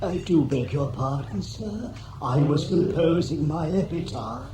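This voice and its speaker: Echoey, servile robot drone. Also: male voice, a little high, expressive, a little slow. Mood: fading, dutiful. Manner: deferential, formal, rambling. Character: servile, failing robot drone, polite as a butler. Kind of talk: radio drama acting. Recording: echoey, clearly noisy 1980s radio drama.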